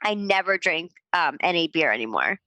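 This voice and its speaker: A woman's voice: fairly low pitch, big pitch swings, moderate pace, sharp tone, no echo. A young adult woman co-hosting a podcast, with a stuffed-up, sniffly nose and a rambling, filler-heavy way of talking. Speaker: podcast co-host. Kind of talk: casual chat.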